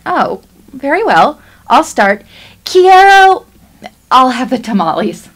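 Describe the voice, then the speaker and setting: A woman's voice: high voice, slow, heavily acted. A woman in a radio play acting a chirpy, bossy wife who animatedly orchestrates everyone. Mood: breezy, teasing. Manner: animated, directing.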